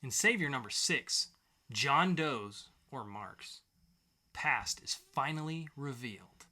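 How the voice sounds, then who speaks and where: Bright-voiced, enthusiastic man co-hosting a podcast. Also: mid-range voice, animated, slow pace. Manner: enthusiastic, repeats phrases for emphasis. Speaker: podcast co-host. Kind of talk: casual comic book review chat.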